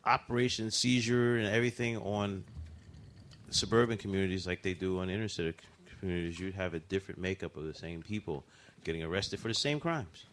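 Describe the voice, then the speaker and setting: A man's voice: low-pitched, brisk, fairly bright tone. A man co-hosting a radio talk show, talking passionately and emphatically in casual chat. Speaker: radio co-host.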